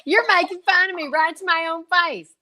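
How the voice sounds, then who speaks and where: A woman's voice: very high-pitched, fairly even intonation, brisk, bright, forceful tone. A woman co-performing in an old-time radio show, bantering in a dramatic, grumbling, theatrical way. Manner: dramatic, grumbling, speaks of self in third person.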